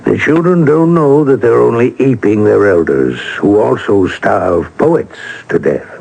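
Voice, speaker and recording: An actor playing a wry, inquisitive American man of letters in a vintage radio drama, with a husky, gravelly voice and a dry, probing manner. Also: medium pitch, lively intonation, measured pace, darkish tone, heavily acted, low-quality recording. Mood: wry, sardonic.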